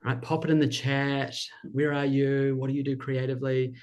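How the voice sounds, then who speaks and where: A man's voice: mid-range voice, level tone, fairly quick, darkish tone, smooth, dull audio. A man with a New Zealand accent hosting a live webinar, enthusiastic and reading out viewers' chat messages. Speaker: webinar host and business coach.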